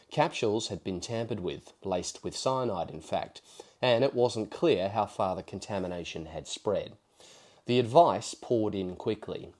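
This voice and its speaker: An Australian man hosting a podcast in a measured, emphatic presenter style, his voice slightly croaky from a cold. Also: on the low side, darkish tone. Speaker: podcast host. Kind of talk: solo podcast talk with prepared storytelling.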